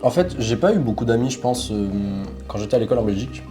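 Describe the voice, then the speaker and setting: Young Portuguese-born man, mellow voice. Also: mid-range voice, fast, soft background music. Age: young adult. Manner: relaxed, animated storytelling with frequent fillers. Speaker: interview guest. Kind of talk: casual conversational storytelling.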